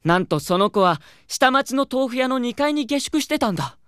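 A woman playing an earnest teenage schoolboy in an anime audio drama, theatrical, moving between hushed reflection and fervent awe. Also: on the high side, very animated, moderate pace, clear, resonant tone, dry recording, clean studio sound. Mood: wistful. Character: earnest, excitable teenage schoolboy, devoted admirer of an idol.

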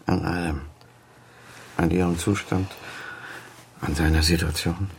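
A middle-aged professor and father with a very deep man's voice. He is weary, evasive and curt, in radio crime drama style, over faint background noise. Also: steady tone, unhurried, vocal fry. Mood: troubled, guilt-ridden. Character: weary, defensive professor and doctor, father of grown son. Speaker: actor.

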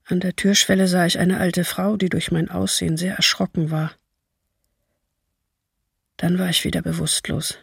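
A man voicing a young mother who survived a massacre, reading her testimony gravely and with restraint in a husky voice for radio. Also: high voice, flat delivery, normal speed, pauses often, fairly bright tone, no echo, clean studio sound, exaggerated voice. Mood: haunted, restrained.

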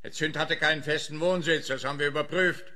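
A man's voice: fairly high pitch, steady tone, fast, sharp tone. A man playing a pompous, pedantic, accusing police detective in a comic radio play. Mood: smug, insistent.

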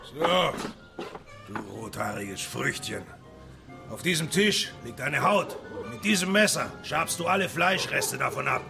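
An actor in a radio play for children playing a cruel, domineering tanner boss who barks orders and threats. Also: male voice, fairly high pitch, lively intonation, a little slow, vocal fry, music in the background. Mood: angry, threatening.

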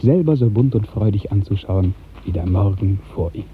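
A man narrating a literary radio drama in 1950s style, with measured, expressive storytelling. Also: fairly low pitch, a little hiss or hum. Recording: muffled, tinny 1950s radio recording.

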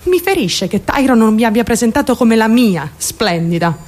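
An actress playing a naive, lovestruck and traumatized young woman in an intimate, halting radio drama monologue. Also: fairly high pitch, very animated, darkish tone. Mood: wistful, naive. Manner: intimate, halting, childlike self-questioning. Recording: music behind the voice. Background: music.

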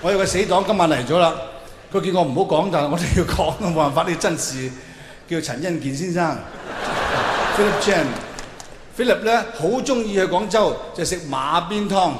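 A man's voice: high voice, moderate pace. A man with a Hong Kong Cantonese accent doing theatrical, exclamatory stand-up storytelling, acting out dialogue for a live audience. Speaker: stand-up comedian. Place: large hall with live audience. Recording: echoey hall with noticeable reverb, faint noise.

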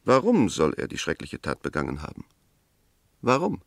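English defence barrister, 1960s radio drama: lowish voice, formal, firm, methodical emphasis, very dry sound. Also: male voice, huge pitch swings, moderate pace, gravelly, dry recording, full character voice. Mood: convinced. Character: Mr. Oliver, firm, reasoning English defence lawyer. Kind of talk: 1960s German radio play, formal debate.

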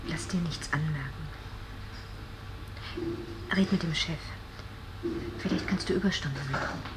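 A woman's voice: deep voice, unhurried. An actress playing a blunt, practical friend in a radio drama, matter-of-fact and reproachful as she advises. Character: blunt, practical female friend giving sobering advice. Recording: noisy background ambience.

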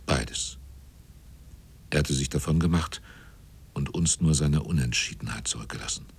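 An actor narrating a radio drama as a grave seaman, with a husky, often hushed delivery. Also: rumbling low.

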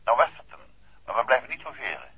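An urbane, jovial husband teases fondly down a telephone line. The voice is husky, thin and tinny, as in a vintage radio drama. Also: male voice, medium pitch, big pitch swings, fast talker, no room sound, full character voice. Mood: jovial, teasing. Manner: jovial, teasing. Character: affectionate, bantering husband, urbane detective. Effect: telephone filter. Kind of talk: 1960s Dutch radio play acting.

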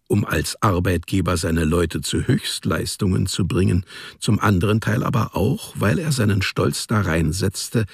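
A male narrator reads scripted literary prose for a radio drama. His voice is deep, creaky and husky. The sound is close and dry. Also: dry recording. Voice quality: gravelly, husky.

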